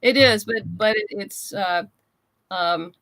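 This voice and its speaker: A woman guest in a casual interview, chatting in a halting way as she thinks aloud. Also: mid-range voice, a bit bright, dry recording, low-quality recording. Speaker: interview guest, comics writer and creator.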